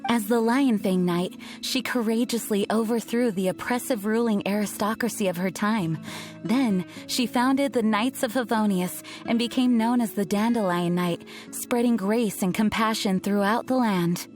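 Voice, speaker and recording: A woman's voice: mid-range voice, normal speed, darkish tone, close to an everyday voice. Dutiful young female knight leader played by a woman, formal and ill, faint score. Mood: reverent. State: ill, coughing. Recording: game audio with faint music.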